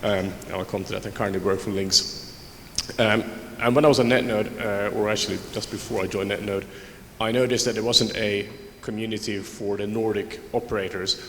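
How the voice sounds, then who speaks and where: A man's voice: fairly low pitch, fairly even intonation, fairly quick. A man from Finland, a conference panelist, reflecting on his career with frequent restarts. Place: large conference hall. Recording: roomy hall with background noise.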